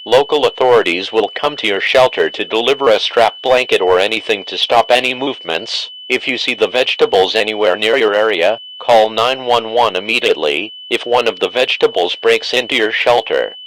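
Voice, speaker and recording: A formal male announcer reads a scripted emergency warning in a fairly low voice. The delivery is flat and synthetic, with faint humming noise like a radio broadcast. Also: a little fast, hardly any pauses, poor audio.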